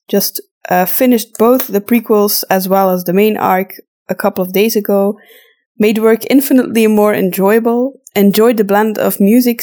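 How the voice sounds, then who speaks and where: A woman's voice: mid-range voice, a little mellow. A woman co-hosting a podcast, casually reading and answering questions from listeners. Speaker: podcast co-host.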